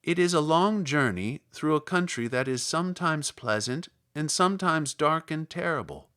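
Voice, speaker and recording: A man reading aloud, voicing the good Witch of the North, a kindly old woman with a gentle, measured manner. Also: a little high, expressive, smooth.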